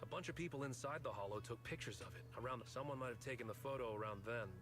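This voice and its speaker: A man's voice: medium pitch, quick pace, over music, natural voice. A man voicing a kindly, casual working man in a video game, a bit sheepish.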